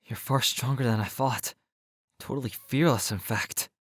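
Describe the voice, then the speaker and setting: A man's voice: mid-range voice, normal speed, breathy edge, no room sound, studio quality, close to an everyday voice. A man playing an exasperated but caring teenage schoolboy in a horror game dub, reacting expressively. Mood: reassuring. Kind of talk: video game dub acting.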